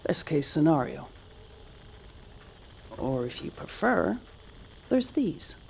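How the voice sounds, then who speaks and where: An actress playing a stoic, resigned mission commander in an audio drama, clipped, restrained and matter-of-fact, with a husky voice. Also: female voice, low voice, sing-song, takes it slowly, a little mellow, slight background noise, heavily acted, poor audio. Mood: calm, grim.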